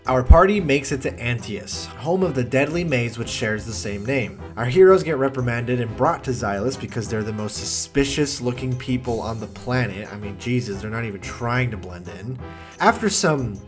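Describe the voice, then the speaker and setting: A young man with a mid-range voice talks through a bad fantasy movie in a scripted review. He keeps slipping in mocking, deadpan asides and the odd curse word. Faint music sits underneath. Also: medium pitch, normal speed. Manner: wry, mocking asides with swearing. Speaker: film reviewer. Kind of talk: sarcastic movie review commentary.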